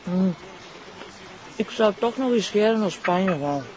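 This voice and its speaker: An actress playing a nagging, sceptical Flemish wife who grumbles sardonically in colloquial speech in a TV drama. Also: female voice, medium pitch, expressive, takes it slowly, lo-fi sound, crowd noise. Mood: grumbling, bored.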